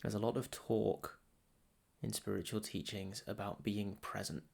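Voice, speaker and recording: Very slow, low-pitched man guiding meditation. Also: level tone, creaky, darkish tone. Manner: soothing, deliberate, with long pauses between short phrases. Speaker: meditation teacher. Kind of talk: guided meditation.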